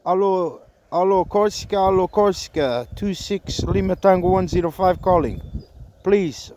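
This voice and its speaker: A man making halting, repetitive radio calls and casual commentary during an outdoor antenna test. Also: high-pitched, background noise. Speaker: amateur CB radio operator testing an antenna. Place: outdoors in a park.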